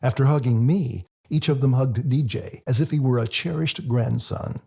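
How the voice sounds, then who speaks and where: A man reading his own book as scripted audiobook narration, in a husky, gravelly voice. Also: medium pitch, moderate pace, dark tone.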